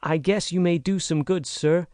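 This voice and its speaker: A man's voice: on the high side, fairly even intonation, normal speed, a little mellow, dry recording, studio quality, pitched above the performer's own voice, natural voice. A man reading an audiobook, voicing an earnest, frank young American woman who pleads with emphasis. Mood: earnest pleading.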